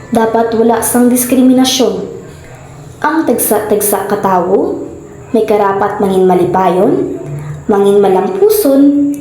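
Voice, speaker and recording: A woman's voice: mid-range voice, warm tone. A woman with a Filipino accent reading scripted narration for a radio drama in a very echoey recording. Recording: very echoey room, music underneath.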